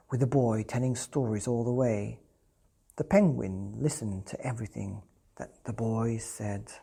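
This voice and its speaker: Bedtime story reader for kids, really slow n mellow, kinda breathy husky voice. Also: medium pitch. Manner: addresses children directly with questions. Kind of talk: children's storybook narration.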